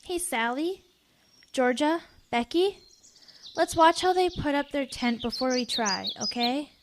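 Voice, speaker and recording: A curious young scout girl in a radio play. The voice is high, with clear outdoor noise and birdsong around it. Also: lively intonation, measured pace. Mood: cautious. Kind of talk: audio drama acting. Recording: noisy outdoor sound with birdsong.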